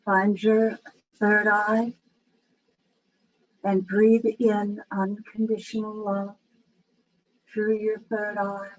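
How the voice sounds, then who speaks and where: Female meditation guide, low-variation delivery, very slow and soothing, slightly dull recording with faint noise. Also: flat delivery.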